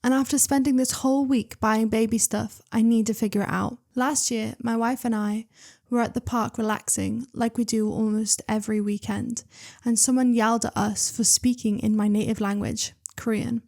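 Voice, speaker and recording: Young woman story reader with a slightly high voice, chatty and excitable, full of asides. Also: normal speed. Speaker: reader and channel host. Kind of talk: reading online stories aloud with commentary.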